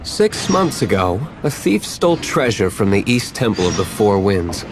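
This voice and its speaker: Low, deadpan young aristocrat. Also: male voice, moderate pace, over music. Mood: measured, dry. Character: cool, aloof young wealthy winery owner, dry and guarded. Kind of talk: video game voice acting.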